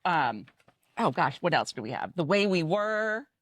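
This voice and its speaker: A middle-aged American woman co-hosting a podcast, chatting casually in an animated, joking way. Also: on the low side, a little slow, breathy edge, no room sound, clean studio sound. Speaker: podcast co-host. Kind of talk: casual, chatty group conversation.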